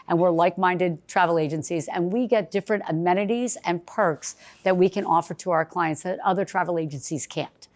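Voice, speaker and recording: Chatty lady travel agent with a big cheerleader voice, kinda low pitched, talking at an event. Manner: bubbly, chatty, loud cheerleader voice. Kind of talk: casual event presentation. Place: bistro event space.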